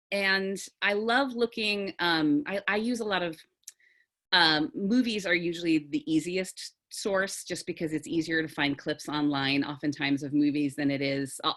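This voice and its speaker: A woman theatre teacher with a low, authoritative register, explaining things thoughtfully in an online group discussion. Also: a bit bright. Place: video call.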